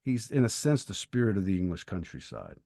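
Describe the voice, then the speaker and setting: A man co-hosting a podcast, talking through literature in a conversational, measured and thoughtful way. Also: low voice, wildly swooping pitch, gravelly, warm tone, dry recording, clean studio sound. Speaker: podcast co-host.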